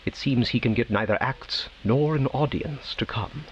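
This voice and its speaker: An actor playing a dramatic storyteller, narrating a whimsical radio play with theatrical, deliberate phrasing. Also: male voice, mid-range voice, lo-fi sound, lightly acted. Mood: wry, dramatic. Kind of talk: scripted dramatic narration, old-time radio.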